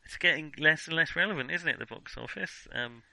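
Man, sharp bright voice, dry close sound, wry jokey casual film chat. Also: a little high, fairly quick, gravelly, dry recording, poor audio. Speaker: film podcast co-host.

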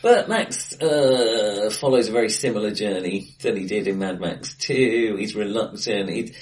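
Halting man in a bright room. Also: on the low side, distant mic, low-quality recording. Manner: halting, restarts, frequent ums and laughs. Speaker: podcast co-host. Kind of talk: casual film discussion. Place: acoustically bright room, face to face. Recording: bright room, voice a little off-mic.

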